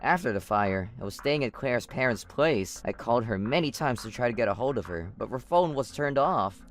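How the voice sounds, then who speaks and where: A woman's voice: very deep voice, expressive, normal speed, faint music behind. A woman voicing a betrayed young husband. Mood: recounting. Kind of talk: animated story voice acting.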